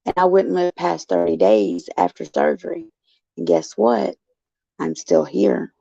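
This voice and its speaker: A woman with a low, heavily gravelly and raspy voice chats folksily with her viewers. She calls them by name as she talks. The recording is dull, lo-fi and dry. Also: very animated, a little slow, warm tone, no echo. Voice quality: raspy, gruff. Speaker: show host. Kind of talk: casual chat, answering viewers. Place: indoors in a care facility.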